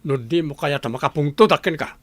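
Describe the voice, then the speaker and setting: A man's voice: a little high, a little fast, close to an everyday voice. A man playing a stern, materialistic middle-aged father in a Filipino radio comedy drama. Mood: stern, pushy. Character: stern, materialistic father pushing his daughter to marry rich.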